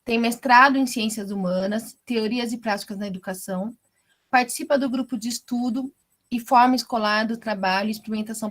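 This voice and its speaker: A woman moderating an academic book launch, introducing a guest speaker in a measured way with frequent tag questions. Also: medium pitch.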